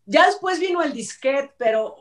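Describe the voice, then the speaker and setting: A woman's voice: high voice, lively intonation, normal speed. A middle-aged woman with a Mexican accent giving casual commentary as a panelist on an entertainment show. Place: indoor room, video call. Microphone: video call mic at a distance. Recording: echoey room, distant mic.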